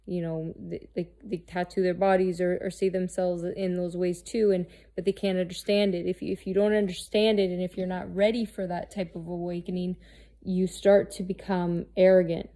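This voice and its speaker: A woman in her thirties, talking candidly and haltingly about herself, restarting often and swearing freely. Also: on the low side, little pitch movement, dark tone. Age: 30s. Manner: halting, searching, with many restarts and fillers. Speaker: host. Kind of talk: candid personal monologue.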